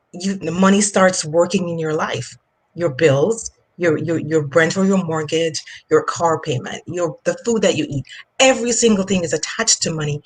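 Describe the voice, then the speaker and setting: A woman's voice: on the low side, breathy edge, rough recording. A woman in her forties from Jamaica, with a Jamaican accent, talking earnestly as a finance coach guest in a casual interview, often repeating words as she thinks.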